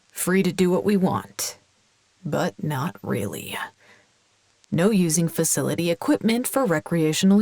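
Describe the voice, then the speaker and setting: A woman's voice: low-pitched, no echo. A woman acting in an audio drama as a wry, jaded worker, giving a personal log in a sardonic, conversational way.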